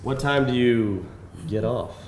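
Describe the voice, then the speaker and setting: A man's voice: mid-range voice, takes it slowly, a little mellow, a little hiss or hum, lightly acted. An actor playing a flirtatious, bantering man in a live table read in an echoey venue. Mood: teasing.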